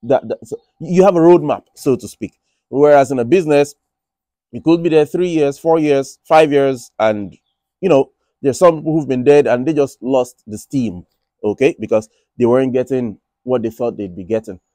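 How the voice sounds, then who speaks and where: A man's voice: medium pitch, moderate pace, mellow, dry recording. A man with a Nigerian accent, talking casually about his money and trading, rambling with frequent restarts. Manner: rambling, many restarts and fillers. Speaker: finance vlogger. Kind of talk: casual monologue. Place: Republic of Ireland.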